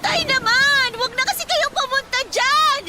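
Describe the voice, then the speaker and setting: A woman's voice: very high voice, unhurried. A Filipino woman playing an anxious, whiny teenage daughter in a radio drama, pleading and complaining dramatically. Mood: panicked pleading. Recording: loud storm ambience behind.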